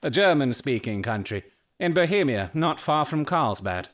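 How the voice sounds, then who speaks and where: An actor playing a brilliant, eccentric Victorian detective in an audio drama, speaking with precise, clipped self-assurance. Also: male voice, mid-range voice, sing-song, moderate pace, fairly bright tone. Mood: confidently deducing.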